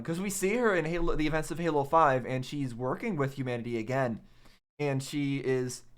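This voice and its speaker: A man's voice: medium pitch, a bit bright. Rambling male reaction commentator. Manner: rambling, thinking aloud. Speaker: reaction video host.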